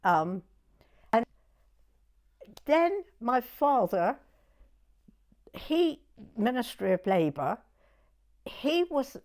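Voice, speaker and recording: A woman's voice: a little high, big pitch swings, extremely slow, long pauses, a little mellow. A woman in her nineties with a southern English accent, reminiscing hesitantly in an interview, often repeating herself and trailing off. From Weymouth, Dorset, England. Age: 90s. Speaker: oral history interviewee.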